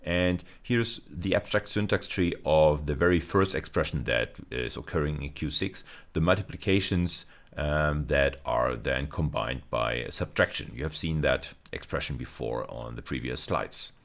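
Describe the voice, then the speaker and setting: Very deep-voiced male lecturer. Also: unhurried. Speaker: university lecturer. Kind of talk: video lecture, explaining technical material.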